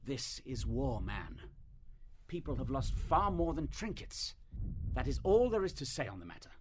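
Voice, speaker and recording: A man's voice: mid-range voice, very animated, normal speed, slight background noise, close to an everyday voice. A man playing a stern, weary First World War army officer with a clipped, formal, commanding manner in an audio drama.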